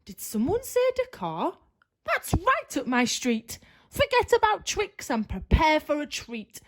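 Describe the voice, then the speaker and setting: A woman acts out an eager young pumpkin lad from a storybook, kindly and fond of fun. The voice is very high and lively, with a sunny, friendly manner. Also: a little slow, heavily acted. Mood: confident. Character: cheerful, kindly young male pumpkin who loves fun.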